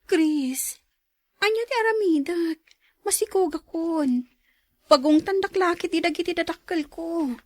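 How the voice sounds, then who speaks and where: A woman's voice: very high-pitched, measured pace, darkish tone, dry recording, studio quality. An actress playing a desperate, indignant young woman in a radio drama, with a Filipino accent.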